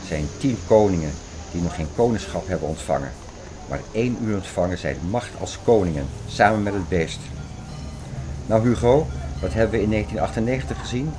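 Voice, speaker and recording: An actor playing an earnest, conspiracy-minded scholar in an audio drama, explaining things in an insistent, lecturing way. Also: male voice, low voice, moderate pace, background noise, lightly acted. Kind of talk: scripted audio drama dialogue. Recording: music under the voice.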